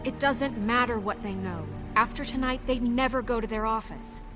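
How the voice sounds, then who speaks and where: An actress playing an earnest, stressed, assertive office administrator in a video game, firm and practical. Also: female voice, fairly high pitch, normal speed, music in the background, close to an everyday voice. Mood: firm, exasperated. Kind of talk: naturalistic video game acting.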